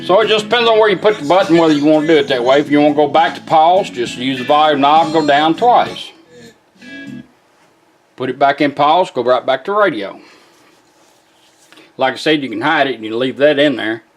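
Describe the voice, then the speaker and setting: Man demonstrating gear, higher-pitched voice. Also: a little fast, soft background music. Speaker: product demonstrator, car radio builder. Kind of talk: casual hands-on product demonstration.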